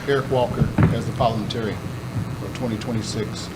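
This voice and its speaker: A man presiding as board president, moving briskly through procedural items. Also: medium pitch, fairly even intonation. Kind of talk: chairing a meeting. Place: school meeting hall. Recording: noisy meeting room.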